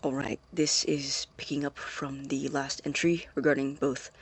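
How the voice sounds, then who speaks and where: An actor playing an obsessive scientist in an audio drama, dictating a log in a clinical, precise but halting husky voice. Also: male voice, mid-range voice, little pitch movement, heavy background noise, close to an everyday voice. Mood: clinical, preoccupied. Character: obsessive male scientist logging unethical experiments on tape.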